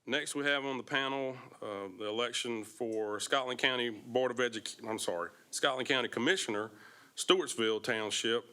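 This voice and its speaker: A forum moderator introduces candidates briskly and courteously, now and then correcting himself. His voice is bright and forward. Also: mid-range voice, clean tone.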